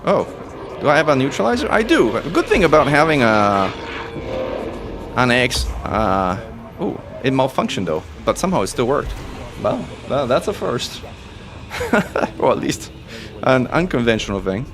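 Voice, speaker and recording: A man from Italy whose first language is Italian, giving excitable live commentary as he plays, talking through each move. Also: mid-range voice, normal speed, music in the background. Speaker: streamer and commentator.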